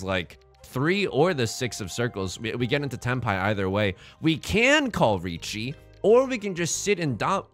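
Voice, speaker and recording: A man's voice: mid-range voice, fairly bright tone. A man from China hosting a let's play, commenting on his own game in a jokey, rambling way with playful internet slang. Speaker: gaming channel host.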